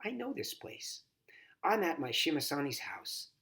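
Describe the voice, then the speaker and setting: A man's voice: fairly high pitch, big pitch swings, a little slow, gravelly, sharp tone. A man reading a children's novel aloud expressively, as a volunteer story reader.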